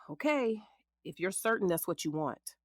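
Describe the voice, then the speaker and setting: Sassy, expressive woman lawyer. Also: fairly low pitch, unhurried, no echo, clean studio sound. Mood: concerned. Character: frank, sassy female lawyer, protective ex-wife of her client. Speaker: actor in an audio drama. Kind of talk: naturalistic scripted drama dialogue.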